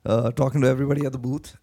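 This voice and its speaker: A man being interviewed as a guest, answering in a halting way with many fillers, his voice worn from days of talking. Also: medium pitch, creaky, mellow, breathy edge. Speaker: guest, blockchain project team member. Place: conference venue.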